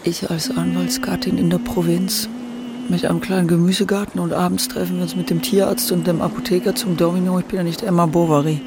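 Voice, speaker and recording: An actress playing a feverish dying courtesan in a German radio play, theatrical, moving between murmurs, whispers and cries. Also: female voice, lowish voice, fairly even intonation, quick pace, background noise, lightly acted. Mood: dismayed, resigned. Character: feverish dying woman, courtesan lover, swinging from defiance to terror. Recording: music behind the voice.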